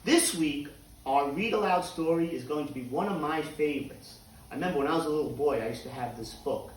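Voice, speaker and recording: A man speaking as a teacher to young pupils, in deliberate, clearly spaced phrases for children. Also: on the high side, reverberant, far from the mic, a little hiss or hum, poor audio. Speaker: teacher reading aloud to students. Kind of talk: children's read-aloud and announcements to pupils.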